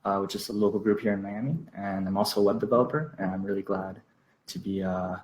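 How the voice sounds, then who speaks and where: A man introduces himself quickly and cheerfully over a video call. His fairly low voice echoes around a roomy space. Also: steady tone, darkish tone. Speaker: local group founder.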